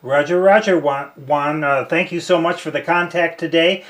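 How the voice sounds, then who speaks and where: A man's voice: fairly high pitch, normal speed. A man from the United States speaking casually as an amateur radio operator, commenting and giving phonetic call signs. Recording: faint background radio static.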